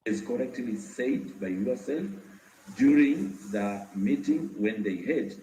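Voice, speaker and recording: A man speaking as an official at a formal meeting, in deliberate, broken phrases. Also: mid-range voice, animated, dark tone. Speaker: government official co-chairing a meeting. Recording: echoey meeting room, faint noise.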